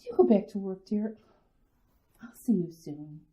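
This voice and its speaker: A woman's voice: mid-range voice, lively intonation, unhurried, warm tone, lightly acted. A woman playing an anxious mother in a radio drama, who stammers and trails off nervously.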